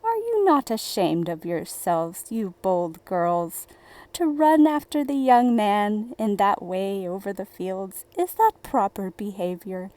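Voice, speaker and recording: A woman acts an indignant village parson from a fairy tale. He scolds in a slow, pompous, reproving voice with a mellow tone. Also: medium pitch, big pitch swings, dry recording. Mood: indignant, scolding.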